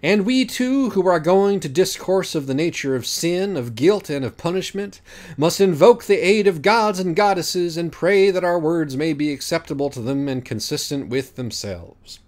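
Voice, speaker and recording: A man's voice: fairly high pitch, animated, normal speed, darkish tone, voice pushed up, close to an everyday voice. A man voicing an aloof, theatrical man who judges sins in an audiobook, pedantic and self-important. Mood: grandiose. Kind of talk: audiobook character voice.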